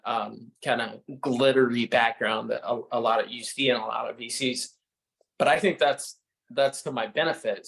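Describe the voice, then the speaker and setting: A man's voice: mid-range voice, a bit bright, low-quality recording. A man who works as a venture capitalist, giving casual, filler-heavy advice to founders. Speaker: venture capitalist, panel speaker.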